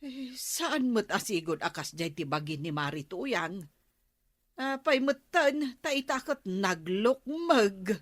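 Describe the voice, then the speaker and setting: A woman's voice: mid-range voice, animated, slow, no room sound. An actress playing a concerned, chatty village woman who gives advice in a comedy radio drama. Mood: alarmed.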